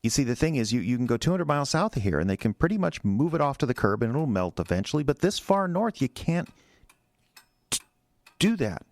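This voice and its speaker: An American man acting in a radio drama as an anxious, defensive husband who speaks haltingly and repeats his words. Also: medium pitch, big pitch swings, dry recording. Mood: anxious, rambling.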